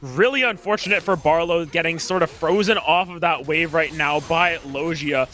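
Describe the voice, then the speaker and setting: A man giving live play-by-play commentary on an esports match, animated, with frequent filler pauses. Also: on the high side.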